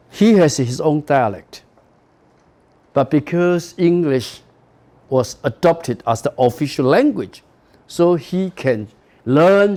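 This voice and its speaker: Taiwanese man lecturing slowly, lively pitch. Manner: lecturing in English, frequent "you know" and "okay", rising emphatic stresses. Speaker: university lecturer. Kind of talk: classroom lecture.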